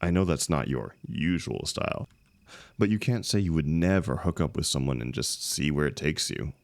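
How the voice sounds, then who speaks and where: Very deep, gravelly young heartbreaker, acted by a man. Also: lively intonation, dry recording, full character voice. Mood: teasing, defensive. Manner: casual, halting, emotional, swinging emphasis. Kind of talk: intimate one-sided audio roleplay.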